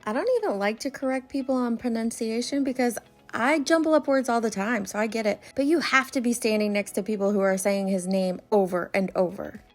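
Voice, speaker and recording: A woman's voice: a little high, fairly quick, soft background music. A woman giving casual reaction commentary in a blunt, corrective way. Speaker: commentator reacting to another creator's video.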